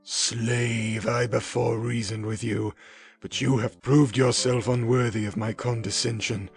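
A wounded, vengeful creature speaks in a gravelly, heavy voice, every word slow and threatening, as a male reader puts him on. Faint music plays underneath. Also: mid-range voice, flat delivery, natural voice. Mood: menacing. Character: vengeful lonely male creature, anguished and menacing. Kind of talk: dramatic audiobook reading.

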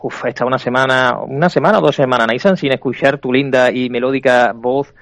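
A man's voice: mid-range voice, a little fast, a bit bright, no room sound. A man from Spain co-hosting a podcast, chatting casually with joking, mock-sentimental banter. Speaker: podcast co-host. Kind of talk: casual chat.

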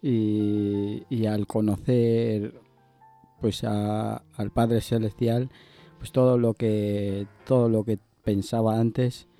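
A man giving personal testimony as an interview guest, in a hesitant, halting way. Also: on the low side, little pitch movement, dark tone, soft background music. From Ecuador.

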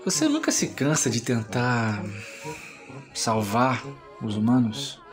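Audio drama role: sardonic demon voiced by a man, scornful, speechifying, middling pitch, light music bed. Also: huge pitch swings, slow. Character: cynical, mocking male demon, disdainful of humans.

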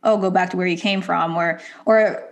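A woman in casual conversation, thoughtful and measured, pausing with hesitant fillers. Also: lowish voice, steady tone.

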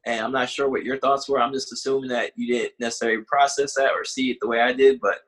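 A man's voice: mid-range voice, level tone, fast talker, a bit bright. A man in his late twenties chatting casually as a podcast co-host, talking things through in a reflective way and searching for his words. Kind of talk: casual conversation.

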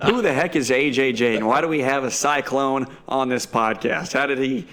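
A man hosts a casual podcast interview, teasing his guest and often cutting in to steer the talk. His voice sits at an ordinary, mid-range pitch. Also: normal speed, a bit bright. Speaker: podcast host.

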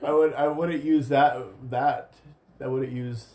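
A man's voice: mid-range voice, fairly even intonation, measured pace, a little mellow. A man co-hosting a casual podcast, teasing and playful, swearing freely. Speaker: podcast co-host.

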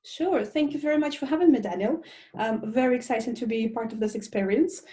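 A woman's voice: a little high, fairly quick, dark tone. A woman from Russia, a consultant, giving long, fluent podcast interview answers with frequent "um" fillers. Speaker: guest, procurement and sustainability consultant.

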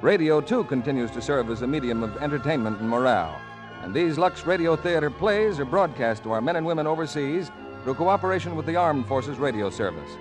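A man reads as a 1940s radio announcer. His mid-range voice swings in pitch with polished emphasis. Music runs in the old broadcast. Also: animated, fairly quick.

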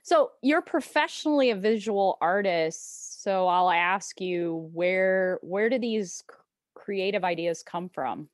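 Lady hosting a podcast, asking questions conversationally, medium pitch, really dry audio no echo. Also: slow. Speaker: podcast host, interviewer. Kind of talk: conversational interviewing.

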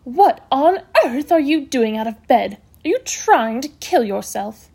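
Woman putting on a bossy, high-pitched hospital matron. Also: expressive, normal speed, a little mellow. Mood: indignant, commanding. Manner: exclamatory, no-nonsense, emphatic. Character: brisk, bossy, self-assured hospital matron, cheerfully scolding.

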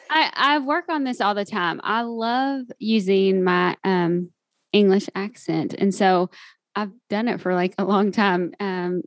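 A woman's voice: mid-range voice, no room sound, clean studio sound. A woman from Kentucky with a Southern US accent, hosting a casual interview and talking in a chatty, giggly way with many fillers. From Kentucky, USA. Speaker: podcast host.

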